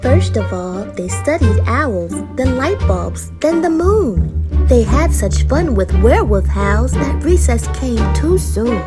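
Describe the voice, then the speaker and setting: Slow, animated woman reading aloud. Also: a little high. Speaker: children's story reader. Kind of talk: expressive read-aloud narration. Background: prominent children's music.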